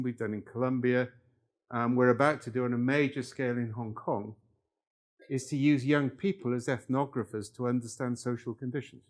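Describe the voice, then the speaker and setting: An older man from Wales giving a live workshop lecture, talking it through conversationally and checking in with the room. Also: mid-range voice. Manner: conversational, checks in with "yeah?" and "right?".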